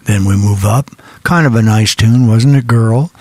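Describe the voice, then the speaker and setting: A man's voice: lowish voice, moderate pace, a little mellow, dry recording. A man hosting an internet rock radio show, chatting in a rambling, folksy, off-the-cuff way and repeating his phrases in a studio. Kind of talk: casual, ad-libbed rock radio DJ chat.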